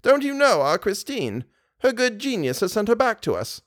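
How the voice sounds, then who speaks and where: A man voicing an anxious old widow, high-pitched. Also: big pitch swings, normal speed, no room sound, clean studio sound. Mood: fond, chiding. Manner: fretful, exclamatory. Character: anxious elderly widow, kindly, simple adoptive mother.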